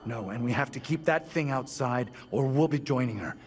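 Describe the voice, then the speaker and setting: A man's voice: mid-range voice, moderate pace, a little hiss or hum, close to an everyday voice. Urgent, commanding professor played by a man. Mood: grim, firm. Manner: urgent, clipped, authoritative. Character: grim, commanding middle-aged professor under threat. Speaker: actor in a horror video game. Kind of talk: dramatic game cutscene acting. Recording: dramatic music behind.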